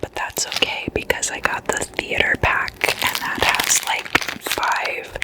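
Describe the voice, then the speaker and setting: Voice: a little slow, low-quality recording. An ASMR host chatting casually and intimately to the listener like a guest at a sleepover, entirely in whispers. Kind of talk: whispered ASMR, casual chatty slumber-party role-play.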